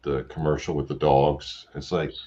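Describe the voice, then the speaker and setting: Very low male caller, meandering delivery, dull lo-fi line. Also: little pitch movement, dark tone. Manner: rambling, halting, lots of fillers. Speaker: guest caller. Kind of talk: casual live chat. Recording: dull lo-fi call audio with faint noise.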